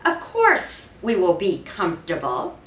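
A woman's voice: lowish voice, huge pitch swings, measured pace, a bit bright, full character voice. A woman voicing a stubborn, tired father in a storybook, firm and emphatic. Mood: insistent. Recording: roomy, distant mic with faint noise.

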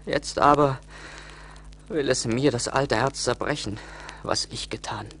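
An actor playing an emotional, plaintive young charcoal burner full of remorse in a radio play. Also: male voice, mid-range voice, unhurried, fairly bright tone, slight background noise, natural voice. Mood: despairing. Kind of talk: theatrical radio play acting.

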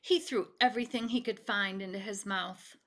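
A middle-aged woman reading a children's story aloud with deliberate, dramatic expression. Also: medium pitch, fairly even intonation, a bit bright. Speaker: picture book reader. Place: home kitchen, indoors.

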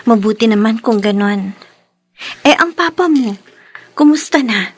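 A woman with a Filipino accent playing a concerned, inquisitive friend in a radio drama, gentle and questioning. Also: a little high, expressive, measured pace. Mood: concerned, curious.

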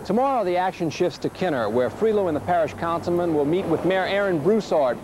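Male TV reporter over rumbling noise. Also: animated, fairly quick. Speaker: local TV news reporter. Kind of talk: scripted news report narration. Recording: clear rumbling background noise.

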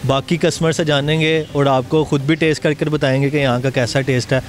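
A man's voice: mid-range voice, flat delivery, brisk. A man with a Pakistani accent presenting and interviewing on location as a food show host, speaking into a handheld microphone. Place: outdoor restaurant at night. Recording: clear street and traffic noise.

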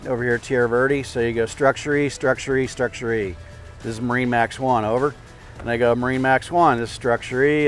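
An older man, a boat captain, giving casual instructional boating tips outdoors on a lapel mic. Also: medium pitch. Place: outdoors at a marina by a parking lot. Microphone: clip-on lapel mic.

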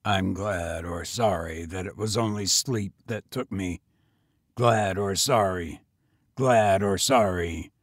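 A man voicing a weary, bitter gentleman tramp in a story, speaking in a grim, resigned, subdued manner. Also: deep voice, slow pace, no room sound, studio quality, voice pushed down. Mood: brooding.

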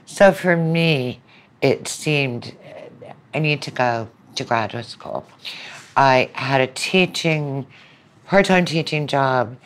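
A woman in her seventies speaks as an interview guest. She reminisces conversationally, telling her story as part of a relaxed back-and-forth exchange. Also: very deep voice. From southern United States. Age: 70s.

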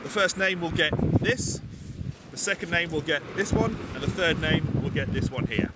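A man's voice: on the high side, normal speed. Chatty man on a windy beach. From England. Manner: relaxed, chatty, many ums and uhs. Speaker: bushcraft vlogger. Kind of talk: casual outdoor vlog. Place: outdoors on a sunny beach, windy. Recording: loud wind and sea noise.

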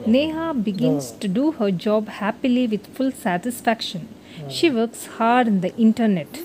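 A woman's voice: a little high, darkish tone, a little hiss or hum, poor audio. A woman reading a scripted moral story for children as its narrator.

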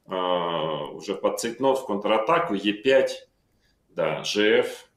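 A middle-aged man talks from a room at home over a video call, his voice lowish and the sound roomy. He goes through chess moves calmly and reflectively, thinking aloud with frequent fillers. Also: takes it slowly. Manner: calm, reflective, calling out moves with many fillers. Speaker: chess player recalling his own game. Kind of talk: analytical interview talk. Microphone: video call microphone.

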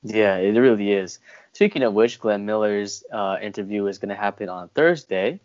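Low-voiced young man interviewing, haltingly. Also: a little mellow. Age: young adult. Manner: halting, hesitant when framing questions. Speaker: interview host. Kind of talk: casual, unscripted interviewing. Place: indoor room at home, video call. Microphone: wired earphones on a video call. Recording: dull, muffled video-call audio.

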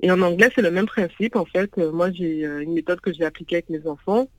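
A woman's voice: fairly low pitch, steady tone, quick pace, dry recording, low-quality recording. A woman whose first language is English, speaking as a guest over the phone and hesitating with lots of fillers. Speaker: guest, founder of a parents' venue. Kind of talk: phone interview. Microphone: phone call.

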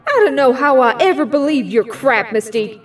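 Woman playing a high-voiced righteous superheroine. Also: brisk, lightly acted. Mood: firm, accusing. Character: righteous, defiant young superheroine. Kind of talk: superhero audio drama acting. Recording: slightly distant, faint music.

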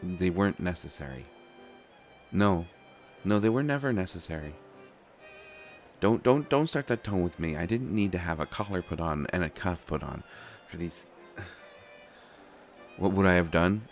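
A man's voice: sits low, expressive, moderate pace. A man playing an anxious, stammering young prince who is reluctant to become king, in a roleplay audio drama. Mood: wry exasperation, then anxious dread. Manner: flustered, hesitant, stammering, sighing. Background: faint music.

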